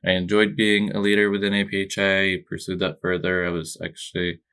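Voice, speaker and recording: A young man from Egypt answers interview questions in a calm, modest way. His voice is very low and flat, with a gravelly texture. He talks in a steady, measured pace. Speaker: podcast guest.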